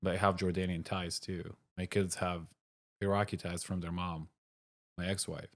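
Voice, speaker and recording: A man from Syria, middle-aged, speaks in a very low register. He gives short, blunt answers to the interviewer's questions in a relaxed chat. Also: normal speed. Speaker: cafe owner, guest. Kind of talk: casual interview talk.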